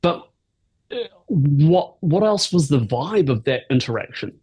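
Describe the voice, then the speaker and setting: Male podcast host, husky voice, casual and curious. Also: on the high side, sing-song, measured pace, a little mellow, lo-fi sound. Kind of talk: interview questions.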